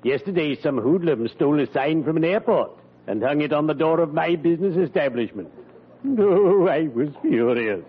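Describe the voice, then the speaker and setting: An actor playing a genteel, lugubrious undertaker with formal, cheerfully morbid delivery in an old-time radio comedy. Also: male voice, fairly high pitch, expressive, breathy edge. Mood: solemnly morbid. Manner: formal, slow, mock-solemn phrasing. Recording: noisy, dull old radio recording.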